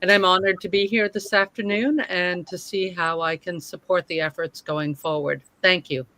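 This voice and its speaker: A woman with a bright, crisp-edged voice introduces herself on a video call. She speaks as a guest supporter in a brief, polite introduction. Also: fairly low pitch, fairly even intonation, moderate pace. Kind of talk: brief spoken self-introduction. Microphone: video call microphone.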